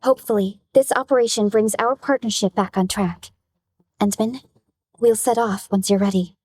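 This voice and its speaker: A woman voicing a composed young supervisor who briefs her team in a formal, measured, businesslike way in a video game. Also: medium pitch, steady tone, husky, lightly acted. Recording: loud game music behind. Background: music.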